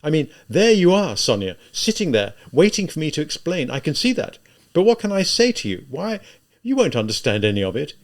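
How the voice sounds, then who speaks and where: A man voicing a tormented, spiteful young murderer in an audiobook, halting and emphatic as he reproaches himself. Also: medium pitch, lively intonation, natural voice.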